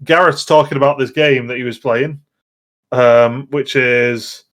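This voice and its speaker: A man's voice: medium pitch, fairly even intonation, a little slow, a bit bright. A man hosting a casual podcast chat, rambling along with light banter. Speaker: podcast host.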